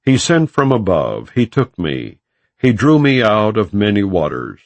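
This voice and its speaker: Male narrator for non-dramatized scripture audiobook: unhurried, solemn, lively rise and fall, close dry sound. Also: on the low side, no echo. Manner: measured, solemn, formal delivery.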